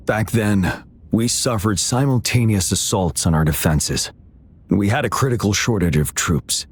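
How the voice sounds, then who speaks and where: A man playing a calm, solemn young general in a video game, speaking in a measured, grave, formal way. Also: fairly low pitch, husky. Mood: grave, composed. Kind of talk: scripted video game dialogue.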